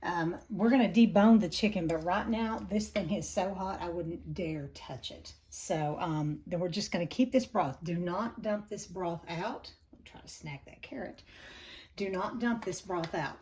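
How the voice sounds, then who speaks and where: A woman, hosting a home cooking lesson with a chatty step-by-step manner; her voice is raspy from allergies and she breathes heavily. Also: lowish voice, a little slow, darkish tone. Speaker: home cooking channel host. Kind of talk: casual cooking demonstration. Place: home kitchen.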